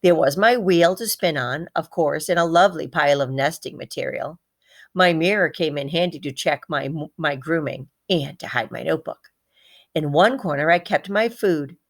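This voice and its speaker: A woman reading a children's story aloud in an expressive, animated manner. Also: low voice, moderate pace.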